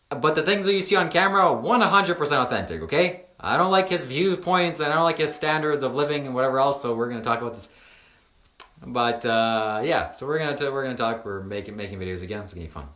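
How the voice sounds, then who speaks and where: A man's voice: mid-range voice, animated, fast talker, fairly bright tone, reverberant. A man in his twenties, a spiritual teacher guesting on a podcast, talking earnestly with many fillers and repeated words. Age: 20s. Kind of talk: casual podcast chat.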